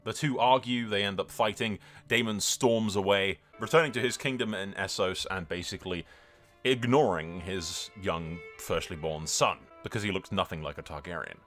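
A man's voice: sits low, normal speed, fairly bright tone, faint music behind. A man hosting a gaming video, mixing dramatic role-play storytelling with sweary, casual strategy game commentary, in a theatrical manner.

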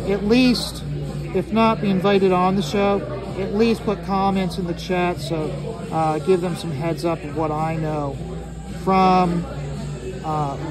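A man talking casually and ramblingly as he draws, halting often and repeating his phrases. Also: high voice, rough recording. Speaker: artist hosting a drawing livestream or video. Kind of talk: casual rambling talk while drawing.

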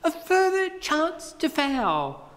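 A shrill, whimsical carpenter from a storybook, squeaking in falsetto and stretching his words in a teasing sing-song. His pitch leaps all over the place, and a long hall reverb hangs behind him. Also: wildly swooping pitch, takes it slowly, a bit bright, exaggerated voice. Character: eccentric male carpenter, beachcomber, shrill and gleeful.

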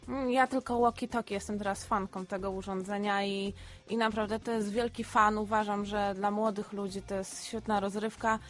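A woman's voice: mid-range voice, little pitch movement, normal speed, faint music behind. Woman chatting informally as radio guest. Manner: informal, peppers talk with hesitant fillers. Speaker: radio studio guest, retailer. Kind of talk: conversational radio interview. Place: radio studio.

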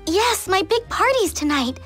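A woman's voice: very high-pitched, animated, a little slow, a bit bright, heavily acted. A woman voicing a bubbly, fashion-loving teenage girl in a game cutscene, gushing and breezy. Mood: bubbly, upbeat. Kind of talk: animated game cutscene acting. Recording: music under the voice.

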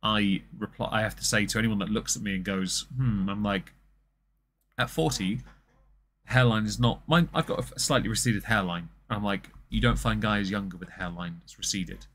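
Man, thirties, deep voice, sarcastic stream commentary, faint hiss. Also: normal speed, fairly bright tone. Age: 30s. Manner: sarcastic, mocking asides. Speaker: stream host reacting to a video. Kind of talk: commentary over a video.

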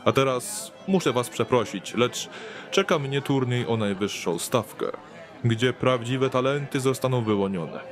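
An actor playing a haughty, greying, wealthy lord in an audio drama, speaking with formal, nonchalant condescension. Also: male voice, medium pitch, animated, measured pace, soft background music, close to an everyday voice. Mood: cool dismissal.

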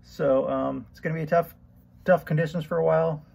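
A middle-aged man giving a casual fishing report, relaxed and rambling with many ums and uhs, recorded on a camera at arm's length. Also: a little high, brisk, gravelly. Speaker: fishing vlogger. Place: outdoors on a boat on a lake. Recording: loud outdoor boat and wind noise. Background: boat motor and water noise.

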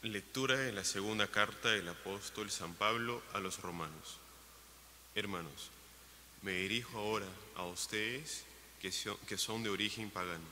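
A man reading a scripture letter at Mass, steady and deliberate. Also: lowish voice. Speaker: lector. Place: large church. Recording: reverberant church broadcast with faint hiss.

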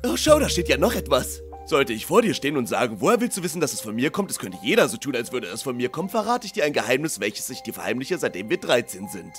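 A man's voice: mid-range voice, sing-song, quick pace, few pauses, faint music behind, close to an everyday voice. A man voicing a goofy, easily touched young best friend in a comedic fan dub, gushing and melodramatic. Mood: cheerfully sincere.

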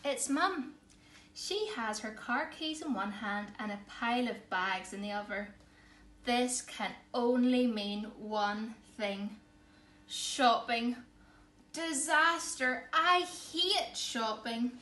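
A woman in her thirties reading a picture book aloud to children, expressive and emphatic, recorded in a living room. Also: a little high, slow pace. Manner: theatrical, emphatic. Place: small living room, indoors. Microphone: camera or phone a short distance away. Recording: echoey room, faint background noise.

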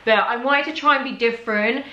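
A woman's voice: on the high side, fairly quick, pushed and bright, roomy sound, muffled recording. A young woman in her twenties chatting to viewers about clothes she bought, her voice a little worn from talking. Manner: chatty, addresses viewers as guys. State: slightly losing her voice. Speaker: fashion vlogger. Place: bedroom, seated on a bed.

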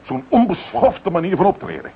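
A man's voice: high voice, wildly swooping pitch, normal speed, narrow, tinny audio, exaggerated voice. A man acting a genteel, pompous medical professor in a radio play, talking in roundabout, insinuating phrases. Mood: indignant. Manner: pompous, circumlocutory. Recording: thin band-limited radio drama recording, slight noise.

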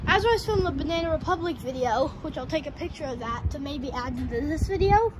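A woman's voice: high voice, expressive, fast. A woman giving casual, rambling walk-around vlog commentary, thinking aloud as host, speaking into a handheld phone outdoors. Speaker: host of an abandoned retail vlog. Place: outdoors on a shopping street sidewalk. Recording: noisy outdoor street recording with cars.

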